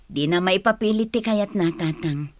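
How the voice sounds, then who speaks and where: A woman's voice: mid-range voice, normal speed, no room sound, close to an everyday voice. A woman playing a stern, domineering mother of many children in a radio drama, with a commanding manner. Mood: matter-of-fact. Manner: commanding, scolding.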